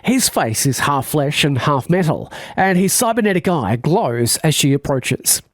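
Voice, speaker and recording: A man's voice: a little high, expressive, a little slow, no room sound, clean studio sound. A man playing a wry, chatty narrator of an audio drama, scripted but full of casual asides.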